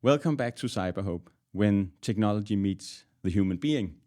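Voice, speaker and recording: A man hosting an interview podcast in a measured, conversational way. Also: lowish voice, animated, mellow.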